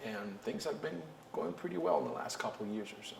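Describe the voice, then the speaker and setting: A man's voice: on the low side, expressive, fairly quick. A young man from Costa Rica, a blues band frontman, talking earnestly and reflectively in a documentary interview. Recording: roomy echo with faint music.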